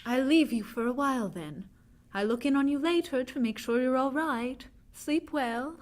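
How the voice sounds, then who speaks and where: A woman's voice: high voice, normal speed, darkish tone, natural voice. An actress playing a frightened young Victorian woman, telling her tale dramatically in a vintage radio drama. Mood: gentle concern.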